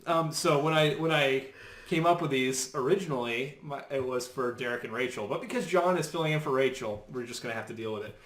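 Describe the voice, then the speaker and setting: A man's voice: mid-range voice, brisk, fairly bright tone. A man co-hosting a podcast, chatting casually in a playful, cheeky, joking way. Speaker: podcast co-host. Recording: roomy, echoing room sound.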